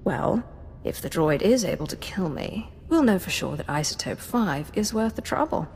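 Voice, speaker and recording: An actress playing a cold, commanding dark-side Sith in a video game, imperious and menacing, giving clipped orders. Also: female voice, on the low side, lively intonation, moderate pace, slight background noise. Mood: cold menace.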